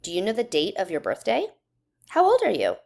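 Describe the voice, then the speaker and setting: A woman reads a simple children's book aloud as an educator would. Her mid-range voice moves up and down in a very animated way, with measured, instructional phrasing aimed at young listeners. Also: mid-range voice, vocal fry. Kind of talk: children's read-aloud narration.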